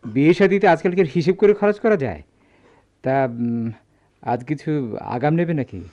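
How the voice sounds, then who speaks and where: Man playing a stammering, swooping, mellow boss. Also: fairly high pitch, animated, moderate pace, muffled recording, natural voice. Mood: surprised, genial. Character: pompous well-off male employer of a goldsmith. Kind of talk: Bengali radio play acting.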